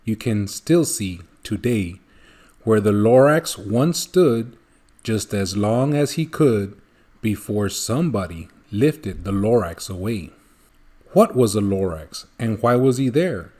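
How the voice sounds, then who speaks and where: A man reading a rhyming children's storybook aloud in an expressive narrating style. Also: lowish voice, takes it slowly. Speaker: storybook reader.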